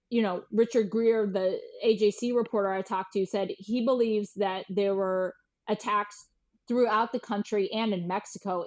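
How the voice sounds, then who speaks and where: Woman journalist telling a story informally. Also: medium pitch. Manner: informal, emphatic, repeats words for stress. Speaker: guest journalist and writer. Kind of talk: conversational interview storytelling.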